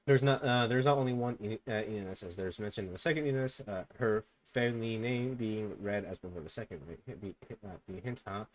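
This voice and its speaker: A young man streaming, reading fan theories aloud in a low mumble and breaking into loud excited outbursts. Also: brisk, hardly any pauses.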